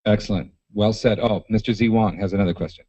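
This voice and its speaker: A man's voice: lowish voice, fairly even intonation, fast talker, warm tone, no room sound. A man hosting a conference, informally moderating questions from the audience. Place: conference hall. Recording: muffled event recording, some crowd.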